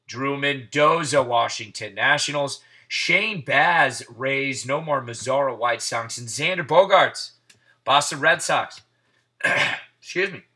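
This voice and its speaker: A man hosting a live card break, calling out players and teams in quick succession with hyped asides, his voice getting hoarse. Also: medium pitch, bright, forceful tone. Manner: rapid-fire name calling, hyped asides. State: losing his voice. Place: indoors at a table.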